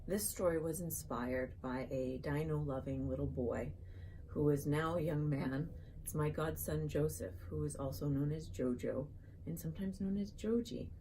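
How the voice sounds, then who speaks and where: A woman children's author reading her picture book aloud and chatting in asides, with an animated storybook delivery addressed to young listeners. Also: deep voice, normal speed, slight background noise, rough recording.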